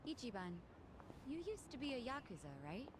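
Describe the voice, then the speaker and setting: A woman's voice: high-pitched, big pitch swings, a little fast. An actress playing an earnest, caring and plucky woman in a video game. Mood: gentle, determined. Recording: game music behind.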